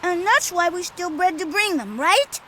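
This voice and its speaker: Game role: excitable kid boy, very high, lively intonation. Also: moderate pace, slight background noise, heavily acted. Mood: eager, surprised. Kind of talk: video game character voice acting.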